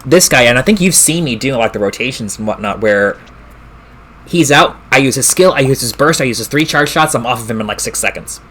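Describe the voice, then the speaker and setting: A man's voice: medium pitch, lively intonation, a little fast, fairly bright tone. A man chatting casually and informally as he live streams a game, swearing mildly. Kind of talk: casual gaming stream chat. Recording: clearly noisy stream audio.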